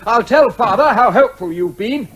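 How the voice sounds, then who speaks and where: A man's voice: very high voice, lively intonation, moderate pace, fairly bright tone, muffled recording, a little hiss or hum. An actor playing an aggrieved, earnest man, a major's son, in a radio comedy drama. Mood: frustrated.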